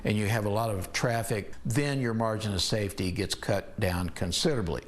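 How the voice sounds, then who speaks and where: A man's voice: fairly low pitch, moderate pace. An American man giving expert commentary on aviation in an interview.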